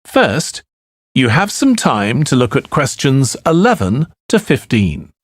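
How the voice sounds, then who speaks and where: A man reading scripted test instructions as an exam announcer, formal and deliberate. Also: mid-range voice, very animated, dry recording, clean studio sound.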